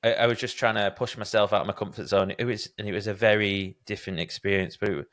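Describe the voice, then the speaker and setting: A man with a lowish, smooth and resonant voice hosts a podcast interview. He talks in a casual, conversational way and tells candid, self-deprecating stories about himself. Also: fairly even intonation, quick pace, fairly bright tone. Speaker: podcast host.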